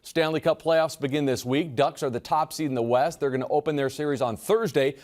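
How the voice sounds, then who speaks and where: A man anchoring a local TV sports desk, reading highlights in a brisk, punchy manner. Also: a little mellow. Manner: brisk, punchy play-by-play delivery.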